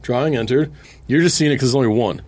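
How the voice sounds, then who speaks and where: Brief: male podcast co-host, medium pitch, informal chat between friends. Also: fast talker, darkish tone, a little hiss or hum. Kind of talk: casual sneaker chat.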